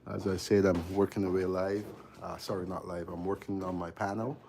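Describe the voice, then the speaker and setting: Barbadian tradesman, very low voice, easygoing chat to viewers while wiring a panel, faint hiss behind. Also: a little fast, creaky. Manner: relaxed, drifting off mid-sentence with fillers while busy. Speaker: electrician livestreaming his work. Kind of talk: casual live stream chat while working. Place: cold basement electrical room.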